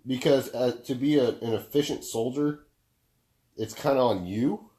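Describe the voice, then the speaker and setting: A young man with a deep baritone voice, talking casually on a podcast, laid-back and swearing freely as he explains through long stories. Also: moderate pace, warm tone. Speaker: podcast co-host.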